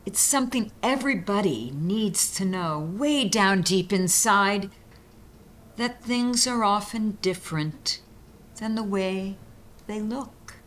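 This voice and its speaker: A woman hosting and reading children's poems aloud, with deliberate, emphatic phrasing made for young listeners. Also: medium pitch, fairly bright tone, slight background noise. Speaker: host and poetry reader. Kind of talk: expressive read-aloud of children's poetry.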